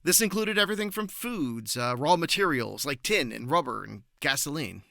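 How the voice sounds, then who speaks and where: A man giving prepared, articulate answers as an interview guest, informative and measured in his phrasing. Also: fairly high pitch, lively intonation, vocal fry, sharp tone, dry recording, clean studio sound.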